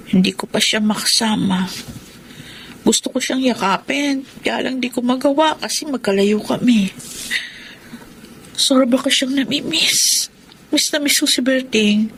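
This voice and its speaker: A woman's voice: a little high, measured pace, long pauses. An actress with a Filipino accent playing a tender, devoted woman in love in a radio drama. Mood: wistful, longing. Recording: clearly noisy radio drama track.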